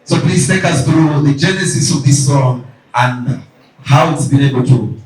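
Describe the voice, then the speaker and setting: A man's voice: fairly high pitch, normal speed, darkish tone. A man co-hosting a press event, asking the guest a question. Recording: echoey hall from far off.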